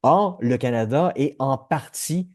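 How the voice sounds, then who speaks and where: A man's voice: medium pitch, expressive, unhurried, dry recording, clean studio sound. A man with a Québécois French accent, hosting a political podcast and talking through his views with many restarts and hesitations. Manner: discursive, frequent restarts and hesitations. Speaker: podcast host. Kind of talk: analytical political discussion.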